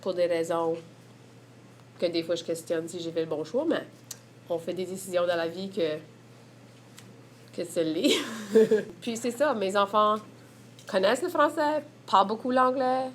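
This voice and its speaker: A woman's voice: mid-range voice, measured pace, pauses often, slight background noise. A woman from the Évangeline region with an Acadian French accent, speaking candidly and searchingly about family and language. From Évangeline region, Prince Edward Island. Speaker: mother sharing her testimony. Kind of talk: candid personal testimonial.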